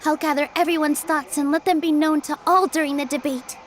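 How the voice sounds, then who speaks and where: Woman as high-voiced, earnest young dancer girl. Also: fairly even intonation, brisk, crowd noise, lightly acted. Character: gentle, earnest young female dancer, modest but determined. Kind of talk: video game dialogue. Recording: faintly noisy game capture.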